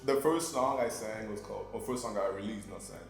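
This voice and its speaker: Fast-talking young man, low voice. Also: roomy sound, faint music behind. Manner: laughs often, repeats words while thinking. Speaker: musician guest. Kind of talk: casual interview chat.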